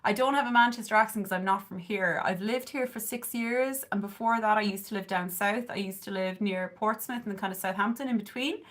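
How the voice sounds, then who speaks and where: A woman's voice: mid-range voice, brisk, few pauses, fairly bright tone. Irish lady in her 30s chatting on a makeup livestream from her bedroom, rambly, lots of likes and ums. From southern Ireland. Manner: chatty and rambling, with frequent "like" and "um"; coos at her cat. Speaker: beauty livestreamer. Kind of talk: casual chat while doing makeup, reading viewer comments. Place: bedroom at home, indoors. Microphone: webcam or phone camera at close range.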